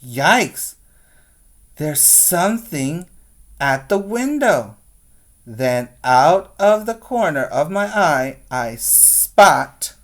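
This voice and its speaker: A man's voice: fairly high pitch, big pitch swings, extremely slow, fairly bright tone. A man teaching preschoolers, speaking in an instructive, question-and-answer way as he reads aloud and guides young children.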